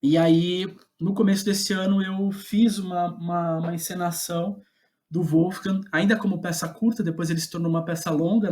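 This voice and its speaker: A man's voice: fairly high pitch, a little mellow. A Brazilian man talks as an interview guest about his own working life. His delivery is casual and conversational, with a self-deprecating streak and a tendency to chuckle as he speaks. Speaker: guest playwright.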